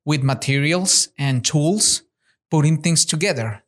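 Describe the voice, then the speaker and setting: A man's voice: on the high side, a little slow. Eager male podcast host. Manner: eager, encouraging listener. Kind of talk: conversational interview.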